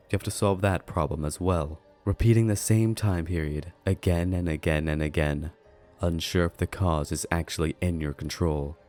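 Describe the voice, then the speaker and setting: A man reading a scripted, analytical video review in a measured, deliberate way. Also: a little mellow, dry recording. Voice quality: low-pitched voice. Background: background music.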